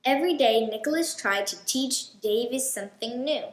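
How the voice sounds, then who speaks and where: A woman hosting a children's read-aloud, telling a storybook in a deliberate, expressive way. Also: a little high, reverberant.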